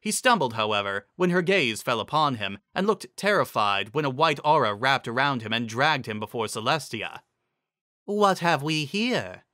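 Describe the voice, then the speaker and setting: A man's voice: medium pitch, lively intonation, moderate pace, few pauses, pushed and bright, dry recording, clean studio sound. A man narrating fantasy fiction as a dramatic reading.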